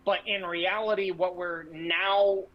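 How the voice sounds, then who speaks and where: A man's voice: sits high, steady tone, slow, dull audio. A man giving casual political commentary as a podcast host, speaking in a brash, blunt, profanity-laced way.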